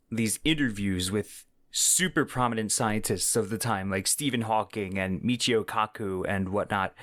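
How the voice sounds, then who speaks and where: A man talks casually as a solo podcast host. His voice sits at a middling pitch, and his delivery is informal and enthusiastic. The sound is very dry, with little room around him. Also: a bit bright, no echo.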